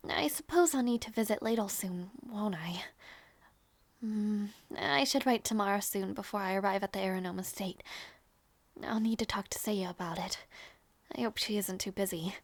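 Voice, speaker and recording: A woman playing a gentle young princess in an intimate audio roleplay, devoted and emotional, with a breathy, halting delivery. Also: mid-range voice, no room sound, lightly acted. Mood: wistful, subdued. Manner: breathy, halting, stammering, often near whisper.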